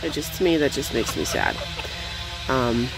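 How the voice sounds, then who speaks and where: A woman's voice: expressive, moderate pace, gravelly, over music, rough recording. Chatty young woman vlogging outdoors. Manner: chatty, rambling, coos in a high voice at the chickens. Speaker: vlogger feeding her backyard chickens. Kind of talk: casual off-the-cuff vlog chat. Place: outdoor chicken run, backyard.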